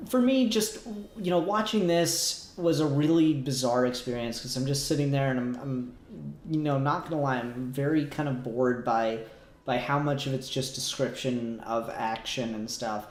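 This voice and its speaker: A man speaks at an ordinary male pitch in a noticeably echoey room. He sounds like a co-host on a casual review podcast. His phrasing is hesitant and halting as he works out what he thinks. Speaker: podcast co-host reviewing a fan audio drama.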